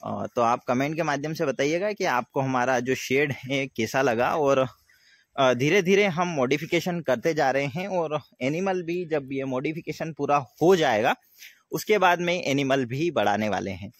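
A young man, a dairy farmer giving a casual, halting walkthrough and often repeating himself as he explains. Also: medium pitch, dry recording. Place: outdoors in an open-sided farm shed. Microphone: phone at arm's length.

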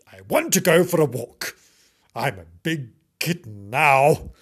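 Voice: medium pitch, lively intonation, slightly rough. A storyteller voicing a frightened, boastful little male kitten with dramatic, pleading wails. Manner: dramatic wailing, drawn-out pleading cries.

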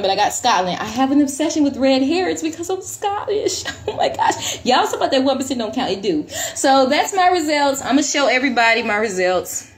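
Excited young woman talking to her phone. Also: on the high side. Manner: excited, exclamatory, talks straight to viewers. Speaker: vlogger. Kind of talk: casual vlog. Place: small bedroom indoors. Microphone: phone camera close up.

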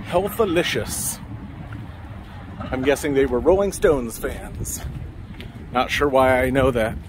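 A man narrating a walking tour of a town's streets in a relaxed, musing, meandering way, recorded on a camera mic as he walks. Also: medium pitch, takes it slowly. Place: outdoors on city streets. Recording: outdoor street noise behind. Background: passing cars and street ambience.

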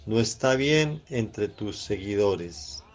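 An actor playing a cautious, disapproving Pharisee in a Spanish radio drama, measured and admonishing. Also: male voice, fairly low pitch, expressive. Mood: cautious disapproval. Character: cautious, disapproving male Pharisee elder named Joseph.

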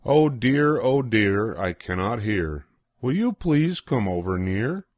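An older man reading a children's picture book aloud in an expressive, sing-song way with deliberate pauses. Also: lowish voice, dry recording. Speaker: storybook reader.

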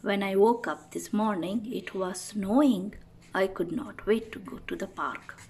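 A woman reading a children's picture book aloud as host, expressive and deliberate for young listeners. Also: mid-range voice, husky, poor audio. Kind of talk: storybook read-aloud.

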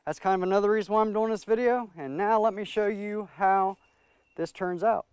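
A middle-aged man hosting a homestead channel, casually explaining in a relaxed, plainspoken way how he splits boards and runs a chipper. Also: high voice, a little fast, clean tone, no room sound. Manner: relaxed, plainspoken, loose with fillers. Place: outdoors in a wooded yard beside a wood chipper.

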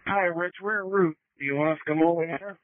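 A man's voice: on the high side, quick pace, no room sound. A man working as an emergency responder, speaking in short operational exchanges over a two-way radio. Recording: noisy, narrowband patched radio transmission.

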